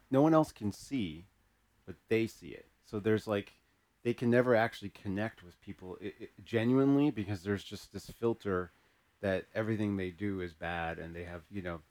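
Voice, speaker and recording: A man with a fairly low voice co-hosts a casual talk. He feels his way through his thoughts, restating and summarizing as he goes. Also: a little mellow. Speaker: podcast co-host. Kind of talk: casual conversation.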